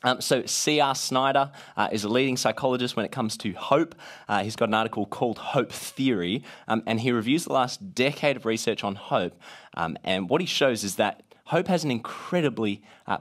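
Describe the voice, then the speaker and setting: A man with a gravelly voice from being a bit sick, leading a casual talk to a group with many "uh" fillers. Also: fairly low pitch, normal speed. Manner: many "uh" fillers, lively rising emphasis.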